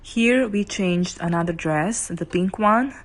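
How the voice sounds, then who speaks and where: A woman's voice: medium pitch, slow pace, a little hiss or hum. A young woman with a Serbian accent, a photographer narrating her shoot in a chatty way and laughing often. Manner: chatty, laughs often, non-native phrasing. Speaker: photographer vlogger.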